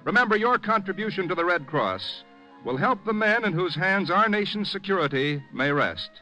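A man's voice: sits high, expressive, moderate pace, pushed and bright, soft background music. A man announcing in formal, emphatic scripted style on a vintage 1950s radio broadcast, projecting dramatically.

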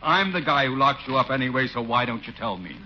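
An actor playing a pushy, wisecracking police desk sergeant in a 1940s radio comedy. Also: male voice, mid-range voice, sing-song, fast, lightly acted. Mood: needling. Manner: brash, nagging. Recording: muffled, hissy 1940s radio recording.

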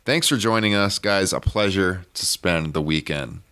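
A hoarse man with a very deep voice that still has a bright edge. He is upbeat and laughs often while hosting. He is in a hotel room. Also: normal speed. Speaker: podcast host. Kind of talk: casual podcast chat.

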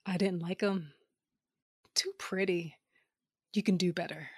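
A woman's voice: on the low side, fairly even intonation, a little slow, a little mellow, breathy edge, no room sound, studio quality. A woman playing a blunt, arrogant female fighter with a curt, sardonic way of talking in an audio drama.

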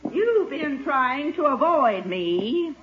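A woman's voice: sits high, sing-song, drawn-out words, heavily acted. An actress playing a pushy, suspicious middle-aged woman, insistent and domineering, in a 1950s radio comedy style. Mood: suspicious, accusing. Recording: muffled vintage 1950s radio recording.

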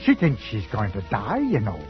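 Worried, lively adventurer, old radio. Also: male voice, fairly low pitch, normal speed. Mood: uneasy. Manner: earnest, pleading, plain-spoken. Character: loyal, worried adventurer, the king's cautious partner. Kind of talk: 1940s radio acting. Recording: muffled 1940s radio recording with music.

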